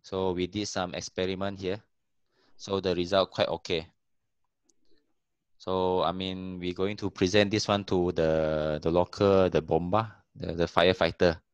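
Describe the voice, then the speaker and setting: Man presenting over a video call, very low voice, dry sound, stops to hunt for words. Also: little pitch movement, clear, resonant tone, dry recording, dull audio. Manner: halting, searches for words. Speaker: cave explorer presenting. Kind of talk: casual online presentation.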